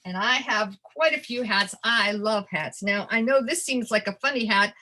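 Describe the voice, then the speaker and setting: Bright-voiced older woman presenting. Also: a little high, moderate pace. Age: 60s or older. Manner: thankful, addresses listeners directly, emphatic key phrases. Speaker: educator, webinar guest presenter. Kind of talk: webinar presentation to childcare providers.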